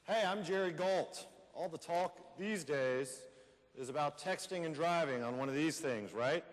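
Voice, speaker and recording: A man's voice: a little high, unhurried, close to an everyday voice. A man playing a folksy fellow who recounts his teenage troubles in a conversational readers theater monologue, putting questions to his listeners. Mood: chatty, reminiscing. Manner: conversational, addresses audience with rhetorical questions. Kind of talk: first-person storytelling monologue in a readers theater. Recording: echoey hall with long reverb.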